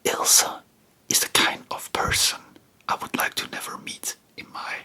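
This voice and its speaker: A person whispering in an informal, rambling ASMR style, breathy and unvoiced, chatting about history close to the microphone. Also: unhurried, sharp tone. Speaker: host of a history ASMR channel.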